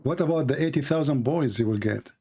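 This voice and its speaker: A man with a dark, relaxed voice and a gravelly creak at the edges. He is a non-native English speaker running a live call-in show, speaking off the cuff and needling with provocative questions. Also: medium pitch, fast talker. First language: not English. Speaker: live stream host taking calls. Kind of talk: unscripted live call-in debate.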